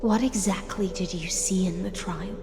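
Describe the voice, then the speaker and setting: An actress voicing a solemn, enigmatic oracle in a video game, grave and poetic, with a hushed, measured delivery. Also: female voice, medium pitch, fairly even intonation, over music, natural voice. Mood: troubled. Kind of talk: scripted video game voice acting.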